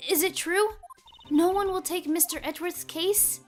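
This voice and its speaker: A woman voicing a curious, perky, naive teenage girl assistant in an amateur video game dub, inquisitive and expressive. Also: extremely high pitch, normal speed, faint music behind.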